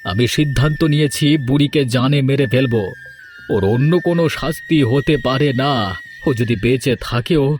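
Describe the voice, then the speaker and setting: A man's voice: medium pitch, moderate pace, darkish tone, faint music behind, close to an everyday voice. A man playing a grieving, vengeful village father in a cartoon fairy tale, emphatic and melodramatic. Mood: angry, determined.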